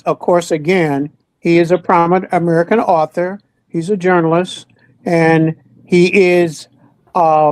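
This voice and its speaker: A woman with a hoarse voice presenting a word-of-the-day segment on a talk show, speaking deliberately and spelling words out. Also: fairly low pitch, darkish tone, no echo. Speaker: radio show co-host.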